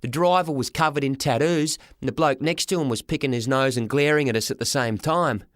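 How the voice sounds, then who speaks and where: A man narrating a children's story in the first person as an expressive audiobook reader. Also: medium pitch, fairly quick, no echo, studio quality.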